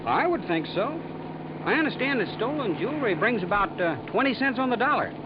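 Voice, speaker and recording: A man's voice: very high voice, very animated, fairly quick, noisy background. An actor playing a nervous, evasive male car salesman who stammers and hedges in a 1950s radio drama, on a dull old recording. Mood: uneasy. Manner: hesitant, stammering. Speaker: car dealer.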